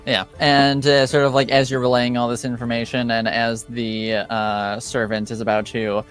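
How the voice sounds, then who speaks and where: A man narrating as game master of a tabletop game, halting and filled with pauses. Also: medium pitch, flat delivery, fairly bright tone, faint music behind.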